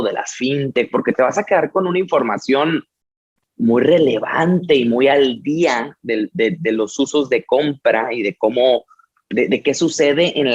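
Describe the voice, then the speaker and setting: An eager male interviewer with a husky, slightly breathy voice stacks up questions for his guest. His speech comes through a little dull and muffled. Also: medium pitch. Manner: eager, piles up rapid questions. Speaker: interview host. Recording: muffled lo-fi sound.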